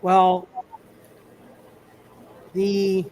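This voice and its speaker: High-voiced, stammering man running a game. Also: completely flat delivery, darkish tone, a little hiss or hum. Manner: halting, repeats and restarts phrases often. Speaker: game master. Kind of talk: live tabletop superhero roleplay, improvised narration.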